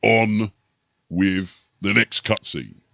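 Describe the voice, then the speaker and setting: A man's voice: fairly low pitch, takes it slowly, gravelly, fairly bright tone, a little hiss or hum. A man hosting and narrating a war game battle report, with emphatic, theatrical commentary. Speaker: host and narrator.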